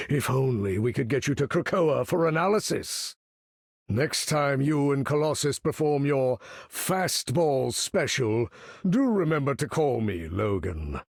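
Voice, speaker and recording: A male actor playing a proud aging mutant leader in a video game, with a gravelly, husky voice and a grand, formal, commanding manner. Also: mid-range voice, no echo, clean studio sound, heavily acted. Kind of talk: video game character voice acting.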